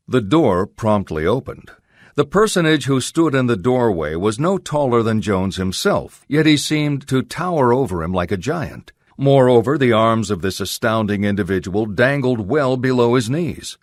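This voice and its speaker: A man's voice: mid-range voice, expressive, moderate pace, no room sound, studio quality. A man narrating a pulp adventure audiobook in a dramatic, scripted storytelling style.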